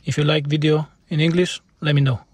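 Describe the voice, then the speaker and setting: A man with a Polish accent, a native Polish speaker, explaining car repairs informally as a mechanic in halting, non-native English. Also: fairly high pitch, little pitch movement, a little hiss or hum.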